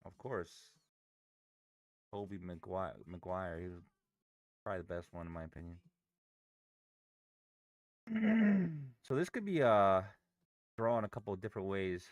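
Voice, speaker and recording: A man whose voice is going hoarse, chatting casually with viewers on a live trading stream. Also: sits low, lively intonation, unhurried, long pauses, dry recording. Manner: chatty, banters with chat while reading charts. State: hoarse, losing his voice.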